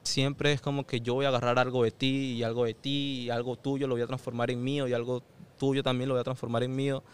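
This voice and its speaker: A man's voice: medium pitch, little pitch movement, fast, clear, resonant tone. A young man with a Venezuelan accent, a podcast guest thinking aloud in casual conversation, with frequent fillers and restarts.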